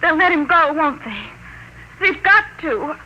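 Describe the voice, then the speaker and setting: A woman's voice: sits high, animated, a little slow, bright, forceful tone, highs cut off, full character voice. An actress playing a frightened, naive young woman pleading anxiously in 1950s radio melodrama style. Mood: fearful, guilty. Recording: noisy band-limited old-time radio recording.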